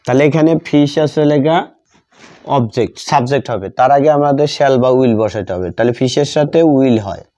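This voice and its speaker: A man with a Bengali accent teaching English grammar, dictating as he writes and repeating each phrase deliberately, in a dull lo-fi recording. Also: medium pitch, dark tone. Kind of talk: grammar lesson, dictating while writing.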